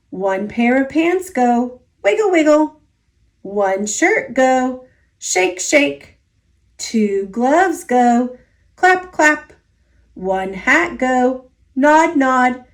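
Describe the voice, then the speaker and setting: A woman with an American accent reading a children's story aloud in an expressive, deliberate storytelling manner. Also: high voice. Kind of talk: read-aloud children's story narration, partly sing-song.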